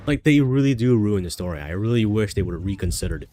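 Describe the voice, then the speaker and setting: A man's voice: fairly low pitch, lively intonation, quick pace, dark tone, slight background noise. Stuffy-nosed man rambling over gameplay. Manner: rambling, thinks aloud with many fillers and restarts. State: stuffy nose from a cold. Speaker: gaming streamer. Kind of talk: casual gameplay commentary.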